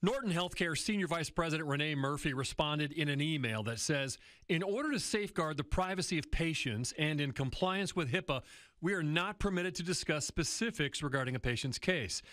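A male television reporter delivers scripted narration in a steady, serious tone. His voice sits a little high, and the read is measured and deliberate. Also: no room sound, clean studio sound. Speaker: investigative reporter.

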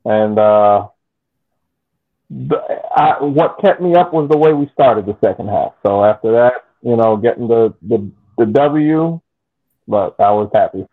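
Young male podcast panelist, slow and halting, casual sports talk, thin phone-like call audio. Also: medium pitch, expressive. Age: young adult. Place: indoor room at home. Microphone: video call.

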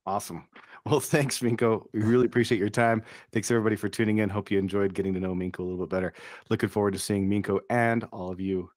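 A middle-aged man hosting a casual interview over a video call, upbeat with quick reactions, speaking into a studio mic. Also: on the low side, fairly even intonation, darkish tone, no room sound. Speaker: interview host. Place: home studio. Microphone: boom studio microphone with headphones.

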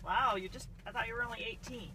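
A woman, the mother, gently instructing and teasing her daughter while driving. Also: on the high side, fast talker, rough recording. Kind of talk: calm caretaking chat. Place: driver's seat of a moving car. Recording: loud car road noise.

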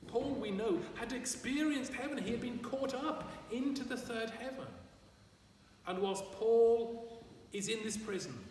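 Slow, high-voiced older priest in echoey church. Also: male voice, darkish tone. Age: 60s or older. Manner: deliberate, emphatic, with measured phrases and repetition. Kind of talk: sermon. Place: large stone church, at a lectern. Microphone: far camera microphone. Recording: echoey church, distant mic, background noise.

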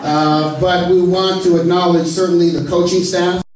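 A man's voice: high-pitched, flat delivery, darkish tone. A man hosting a press conference, announcing athletes and joking with the panel in a genial way. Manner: genial, joking, lists names with pauses. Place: large echoey indoor hall. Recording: echoey hall recorded from far off, noisy.

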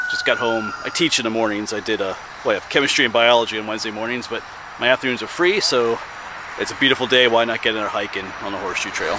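An American man in his forties chatting casually and rambling folksily as he walks a wooded trail, speaking into a handheld camera. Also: medium pitch. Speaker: hiking vlogger and teacher. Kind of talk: casual chat while walking a trail. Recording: outdoor camera audio with clear noise.

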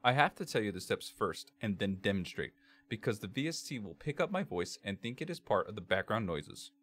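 Man, lowish pitch, bass-heavy voice, tutorial narration, measured step-by-step instructions, computer microphone. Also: very animated, fairly bright tone. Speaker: tutorial presenter. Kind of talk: scripted software tutorial narration. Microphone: computer microphone, screen-capture voice-over.

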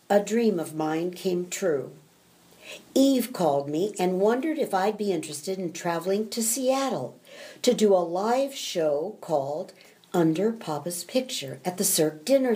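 An older woman with an American accent, a former actress reading her own life story in a measured, polished way. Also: on the low side, mellow. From United States. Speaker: retired actress telling her career story. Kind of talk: scripted autobiographical narration.

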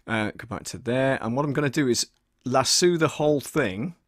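A middle-aged man walks through his editing in a casual tutorial, half talking to himself. His voice sits at a medium pitch. The close microphone sound is very dry, with little room in it. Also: moderate pace, no echo. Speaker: voice-over artist and audio producer giving a tutorial. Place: small indoor desk studio. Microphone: studio desk microphone, close.

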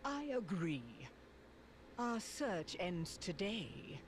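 An actress playing a haughty, menacing evil sorceress in a video game, speaking in a stately, theatrical way. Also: female voice, mid-range voice, big pitch swings, drawn-out words, slight background noise. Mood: cold, portentous. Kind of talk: villain acting in a video game.

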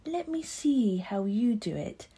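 A woman's voice: on the high side, big pitch swings, slow pace, mellow, breathy edge, full character voice. A woman voicing a curious, playful little farm boy in a storybook, with simple, earnest phrasing. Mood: eager, curious.

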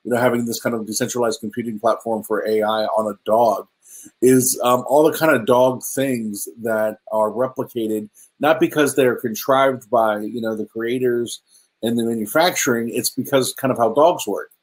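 A man's voice: mid-range voice, steady tone, moderate pace, low-quality recording. A middle-aged man casually presenting slides over a webcam video call, hesitant and chatty with many ums and restarts. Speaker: presenter at a virtual meetup. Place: indoor room at home.

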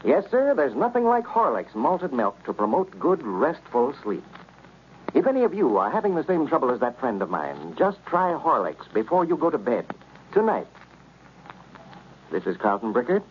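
Male American 1930s radio announcer, animated sponsor pitch, slightly noisy vintage recording. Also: normal speed, highs cut off.

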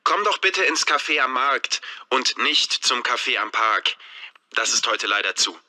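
A friendly caller leaving a message, his voice very bright and forward. He speaks in a clear, steady manner suited to an exam recording. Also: mid-range voice, measured pace, full character voice. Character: adult man leaving a friendly phone message. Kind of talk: scripted listening-test dialogue.

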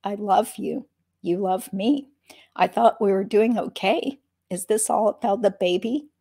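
Doting sleepy young husband, soft and coaxing, a woman reading him in her own voice, mid pitch. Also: measured pace, gravelly, husky, dry recording, studio quality. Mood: soothing.

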